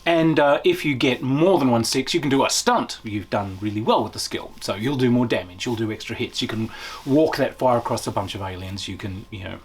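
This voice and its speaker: A man's voice: medium pitch, brisk, husky. A man giving a casual, unscripted review as a tabletop game reviewer, enthusiastic and emphatic, drawing out key words.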